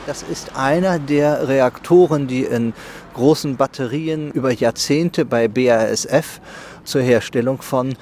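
A man explaining things as an archivist in an interview, in a deliberate manner. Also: mid-range voice, a little mellow. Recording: echoey location recording, faint background noise.

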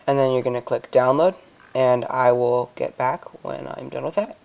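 A young man talks through a casual computer tutorial. His voice is smooth and fairly monotone, at a middling pitch. He explains in a hesitant, off-the-cuff way. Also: normal speed. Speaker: tutorial host. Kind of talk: casual screen-recorded software tutorial. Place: indoors, voice-over on a computer screen recording.

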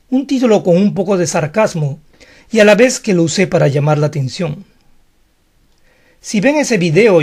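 A man's voice: high voice, a little mellow. A man whose first language is Spanish, giving an online language lesson in a frank, defensive, explanatory manner. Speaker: English teacher.

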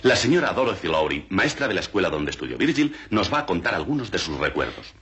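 An actor playing a solemn, mock-grave documentary narrator in a film comedy. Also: male voice, low voice, big pitch swings, fast talker, fairly bright tone, husky, dull audio, exaggerated voice.

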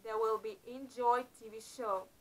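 A middle-aged woman narrating a Bible-themed adventure story to camera in a small room, earnest and a little halting. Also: fairly high pitch, fairly even intonation, fairly bright tone, poor audio. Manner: earnest, slightly halting phrasing with uneven emphasis. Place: small indoor room before a painted backdrop. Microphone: camera close up in front of her.

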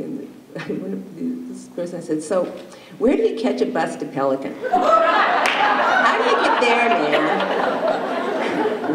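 A middle-aged woman spins a folksy story on a stage, acting out each side of the talk. Her voice is gravelly, and it comes from far off in an echoey hall with some background noise. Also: deep voice. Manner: folksy, acts out quoted dialogue. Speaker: fisherwoman and panelist. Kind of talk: animated anecdote telling to an audience. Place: auditorium stage, recorded from audience distance. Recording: echoey, distant, noisy hall recording.

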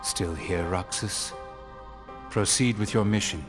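A man's voice: lowish voice, takes it slowly, music in the background. A man playing a stern, icy second-in-command in a video game, with a cold, formal, contemptuous manner. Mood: stern contempt. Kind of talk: dramatic video game voice acting.

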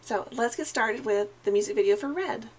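A woman's voice: a little high, normal speed. A young woman with a scratchy, slightly nasal voice from a sinus infection chats casually to camera in a small bedroom, saying um often. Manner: chatty, friendly, frequent ums. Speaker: music reaction vlogger. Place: small decorated bedroom, indoors.

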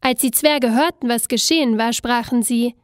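High-voiced woman telling a fairy tale. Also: normal speed, no echo, clean studio sound. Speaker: storyteller. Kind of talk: scripted fairy-tale narration.

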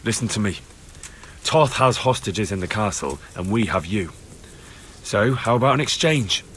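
An actor playing a stern, taunting young medieval soldier in a video game, speaking firmly and deliberately as an interrogator. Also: male voice, lowish voice, lively intonation, a bit bright, a little hiss or hum. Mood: stern, threatening. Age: young adult. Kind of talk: video game cutscene acting. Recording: music under the voice.

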